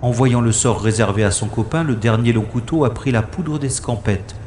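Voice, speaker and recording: A man's voice: mid-range voice, normal speed, darkish tone, roomy sound, a little hiss or hum, close to an everyday voice. An actor playing a wisecracking special agent who narrates his own science-fiction adventure in a wry, theatrical way. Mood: wry relief. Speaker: lead actor and narrator of an audio drama. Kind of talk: dramatised first-person narration, sci-fi radio play.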